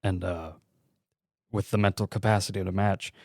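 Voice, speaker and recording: A man's voice: sits low, little pitch movement, normal speed, gravelly, a little mellow, no echo, studio quality. A man speaking casually as a podcast co-host in an informal discussion.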